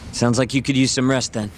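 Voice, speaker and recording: An actor voicing a casual, laid-back friend in a video game. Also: male voice, mid-range voice, a little hiss or hum, lightly acted. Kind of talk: video game dub acting.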